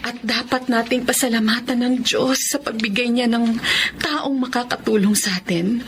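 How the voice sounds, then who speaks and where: A woman playing a gentle, pious and grateful mother in a Filipino radio drama, with a Filipino accent. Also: a little high, steady tone, normal speed, noisy background, close to an everyday voice. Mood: grateful, moved.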